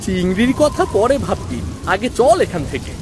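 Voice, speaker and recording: Cartoon big brother, acted: young village boy, brave, reassuring, very animated delivery, faint music behind. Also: mid-range voice, measured pace. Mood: urgent. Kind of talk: cartoon story voice acting.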